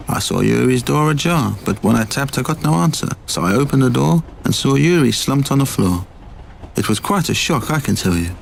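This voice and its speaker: Casting: a man as earnest 1930s railway official in amateur radio mystery, gravelly edge, some background noise. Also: mid-range voice. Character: routine-minded train conductor and authoritative ex-policeman, 1930s mystery. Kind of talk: amateur radio play acting. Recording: noisy amateur radio play recording.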